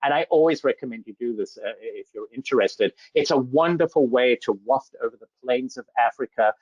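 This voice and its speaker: A man's voice: medium pitch, brisk, darkish tone, no room sound. A man from Zambia giving an enthusiastic slide presentation about safari travel, full of superlatives. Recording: dull lo-fi dry audio.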